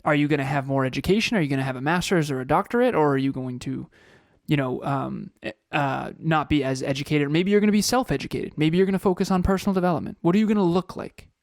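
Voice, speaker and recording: A man's voice: fairly high pitch, quick pace, gravelly, hardly any pauses, no echo, clean studio sound. A man in his thirties co-hosting a motivational podcast, talking with energy, firing off rhetorical questions and repeating phrases. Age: 30s. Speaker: podcast co-host.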